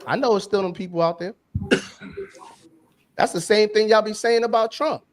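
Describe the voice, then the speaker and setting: A man's voice: high voice, moderate pace. A man from New York, a podcast co-host, talking bluntly in casual debate, full of slang and repeating himself.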